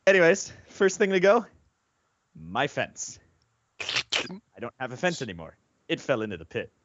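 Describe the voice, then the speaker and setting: A man's voice: medium pitch, wildly swooping pitch, unhurried, muffled recording, noisy background. A man co-hosting a podcast, casually telling a story to friends. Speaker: podcast co-host.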